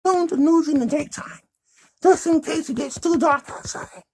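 A man voicing a sassy, blunt girl puppet in a high falsetto for a children's puppet show. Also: moderate pace, darkish tone. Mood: chatty.

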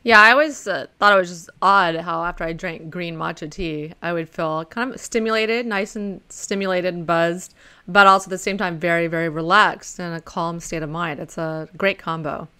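A woman in her forties hosts a podcast from her home office, speaking close to a condenser mic. She is friendly and casual, with easy laughs and plenty of ums. Her voice sits a little low but sounds bright and crisp. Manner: friendly, laughs easily, fills pauses with um and uh. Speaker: podcast host. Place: home office over a video call.

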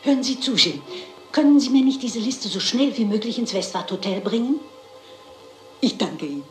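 A woman's voice: a little high, animated, moderate pace, echoey room, soft background music, natural voice. A woman playing a shrewd, composed elderly lady detective, polite and inquisitive, in a German crime drama. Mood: shrewd, businesslike.

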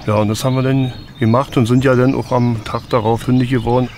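A man's voice: medium pitch, little pitch movement, fast talker, darkish tone, slight background noise. A middle-aged male investigator recalls a case in an interview. He speaks outdoors in woodland, with faint birdsong behind his voice. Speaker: police investigator. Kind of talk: interview recollection. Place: outdoors in a forest.

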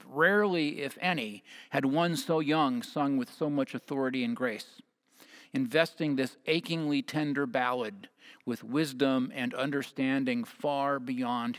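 Measured American male preacher. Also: mid-range voice, fairly bright tone. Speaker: pastor. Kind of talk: sermon, partly read from notes. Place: church sanctuary at a lectern. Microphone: headset mic.

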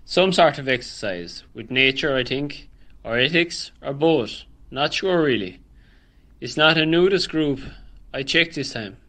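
A man's voice: mid-range voice, animated, measured pace, pushed and bright. A man playing a practical, easygoing Irish village hall caretaker in a radio drama. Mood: unsure, dry. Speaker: actor in an amateur radio drama.

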